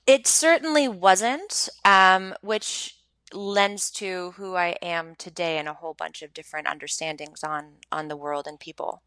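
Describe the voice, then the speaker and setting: A woman in her thirties from Montreal speaks as a podcast guest. Her voice is bright and forceful but sits a little low. She talks frankly and reflectively, pausing on ums and correcting herself, close on a dry mic. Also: no room sound. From Montreal, Canada. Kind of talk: candid personal storytelling.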